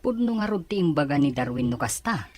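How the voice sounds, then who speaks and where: A man's voice: on the high side, very animated, fairly quick. A man with an Ilocano Filipino accent playing a curious, gossiping office colleague in an audio drama. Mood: gossipy. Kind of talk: Ilocano radio-style drama acting.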